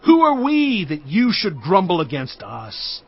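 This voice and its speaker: A man's voice: high voice, huge pitch swings, a little slow, husky, heavily acted. A man playing Moses as a stern leader, speaking in an earnest, emphatic way, on dull audio with faint music. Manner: earnest, emphatic preaching delivery.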